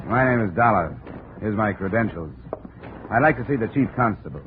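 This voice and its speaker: An actor playing a hard-boiled American insurance investigator in 1950s radio style, clipped, dry and matter-of-fact. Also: male voice, on the low side, animated, brisk, background noise, natural voice. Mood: brisk, questioning. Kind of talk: 1950s radio detective acting and narration. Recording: tinny, narrow-band vintage radio recording.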